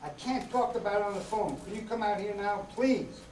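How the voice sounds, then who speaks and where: A woman's voice: medium pitch, fairly quick, a little mellow, natural voice. Woman as an anxious boy sidekick, far off. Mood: upset, urgent. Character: anxious young male superhero sidekick, earnest. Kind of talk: amateur radio drama reenactment. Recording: far, echoey room, faint noise.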